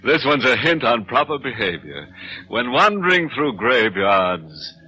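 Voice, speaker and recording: Slow, sharp, sardonic male horror host. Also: medium pitch, sing-song, slight background noise. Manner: theatrical, sardonic, drawn-out delivery. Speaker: mystery show host. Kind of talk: macabre, tongue-in-cheek 1940s radio hosting. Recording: old radio recording.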